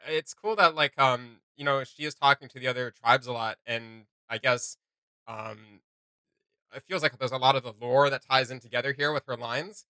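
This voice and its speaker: Casual reaction host, bright-voiced and dry-miked. Conversational, hesitant commentary. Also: mid-range voice, steady tone, clear, resonant tone, dry recording. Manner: halting, conversational.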